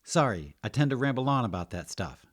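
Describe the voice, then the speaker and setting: A man's voice: medium pitch, sing-song, brisk, voice pushed up. A man voicing a friendly female potion maker in a fantasy story, given to eager rambling. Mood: sheepish.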